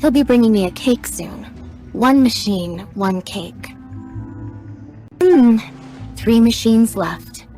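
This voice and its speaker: Terse, deadpan young tinkerer. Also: female voice, a little high, slow, pauses often. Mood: matter-of-fact. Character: matter-of-fact young machine tinkerer with a sweet tooth. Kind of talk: scripted video game character dialogue. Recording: music behind the voice.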